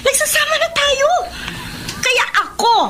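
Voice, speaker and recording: A woman's voice: extremely high pitch, fairly even intonation, unhurried, noisy background. An actress playing a bossy, petulant young live-in girlfriend in a radio drama, whining and giving orders. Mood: petulant, demanding. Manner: whiny, imperious. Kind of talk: Filipino radio drama acting.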